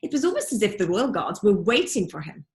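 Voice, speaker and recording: A woman reads a children's adventure story aloud in an expressive, teacherly way. Her voice sits at a middling pitch, and she places dramatic, deliberate emphasis on key words as she narrates. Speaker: teacher reading aloud to pupils.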